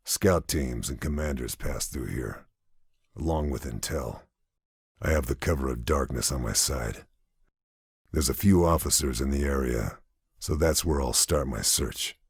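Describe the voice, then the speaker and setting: A grim wartime sniper narrates his missions for a video game. The voice is extremely low and heavily rasped, recorded dry and close. The delivery is terse, brooding and deliberate. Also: no echo, full character voice. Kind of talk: scripted dramatic mission narration.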